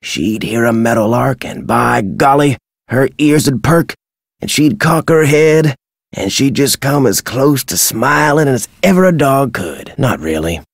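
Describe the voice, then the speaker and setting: An older American man voicing a crotchety retired birdwatcher in a video game, folksy and gruff with a husky, dry delivery. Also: medium pitch, animated, a little slow, dry recording. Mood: wistful, plainspoken. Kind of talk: video game character dialogue.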